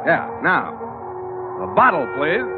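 A man's voice: a little high, wildly swooping pitch, slow, over music, exaggerated voice. An actor playing a tough, wry sea captain who narrates his own adventures in hard-boiled 1940s radio style. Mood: wry, companionable. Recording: narrow old radio broadcast recording.

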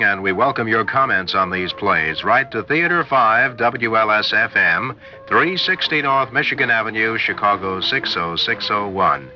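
A male vintage radio announcer reads scripted programme notes in a medium-pitched voice. The recording is muffled, with music playing behind him.

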